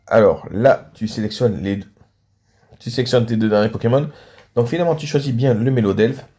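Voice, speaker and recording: A man's voice: medium pitch, a little fast, dark tone. A man hosting and casually commentating on video game matches, slightly stuffed up with a cold. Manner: frequent throat clearing and coughing.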